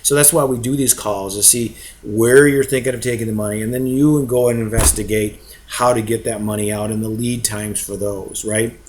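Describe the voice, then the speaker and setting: A man's voice: on the low side, steady tone, normal speed, few pauses, noisy background. Conversational man explaining to prospective investors. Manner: conversational, frequent rhetorical check-ins. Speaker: real estate investment presenter.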